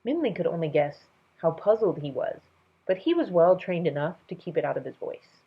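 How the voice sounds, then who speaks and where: A woman reading a storybook aloud as a storyteller, in an expressive scripted narration. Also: on the low side, moderate pace, breathy edge, narrow, tinny audio.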